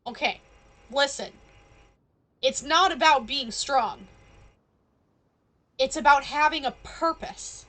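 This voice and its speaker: A woman live streamer giving playful, deliberate, mock-solemn commentary over a video game. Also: high-pitched, sharp tone, rough recording.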